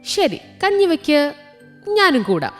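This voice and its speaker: A high, swooping cartoon housefly lady, put on by a woman storyteller, lazy and greedy to the core. She whines and stubbornly brushes off anything like work, as in a children's story. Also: huge pitch swings, slow, fairly bright tone, soft background music, full character voice. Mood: lazy, dismissive. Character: lazy, greedy female housefly who shirks all work.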